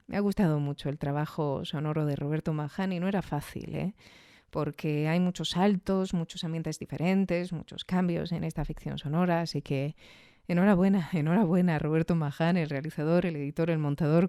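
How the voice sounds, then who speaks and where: A woman hosting a podcast in a reflective, thoughtful review style, building her phrases in short halting pieces with little rhetorical tags. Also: deep voice, warm tone, dry recording, studio quality. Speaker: host of a podcast on audio fiction.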